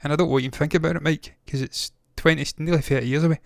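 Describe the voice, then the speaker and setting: A man's voice: a little high, steady tone, racing, creaky, dry recording, studio quality. A man in his thirties hosting a movie news show, talking casually, with rambling opinionated asides. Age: 30s.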